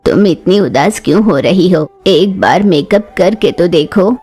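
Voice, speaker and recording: Woman as a low, husky cartoon crow doctor. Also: measured pace, dark tone, soft background music. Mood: coaxing. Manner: reassuring, coaxing. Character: kindly, reassuring female crow family doctor. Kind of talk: Hindi children's cartoon voice acting.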